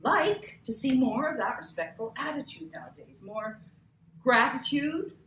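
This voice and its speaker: A woman performs as a prickly, proud older female TV boss in a table read. Her voice is highish and swoops with sardonic emphasis, heard in a roomy, slightly noisy space. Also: animated, measured pace, a bit bright. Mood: scornful, wounded pride. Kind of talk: live table read of a novel. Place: cramped bookstore event, live. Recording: roomy live bookshop recording, background noise.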